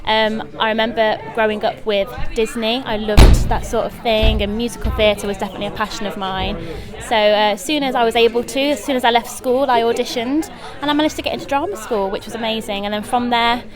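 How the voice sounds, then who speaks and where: A woman's voice: fairly high pitch, fairly even intonation, a little fast, few pauses, over music. An enthusiastic, effusive young woman with a British accent, answering interview questions as a pantomime actress. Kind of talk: interview chat. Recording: chattering crowd behind the voice. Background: busy press event crowd.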